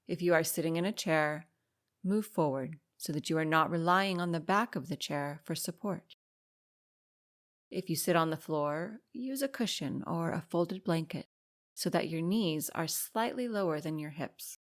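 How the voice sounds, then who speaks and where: A woman with a slightly low voice narrates a guided meditation. She speaks calmly and soothingly, giving unhurried instructions with room left between phrases. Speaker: meditation guide and podcast host.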